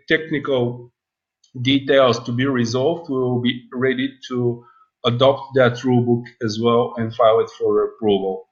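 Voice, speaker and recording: A man giving a business presentation on an earnings call, speaking haltingly with long pauses. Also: medium pitch, dull audio. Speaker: company presenter.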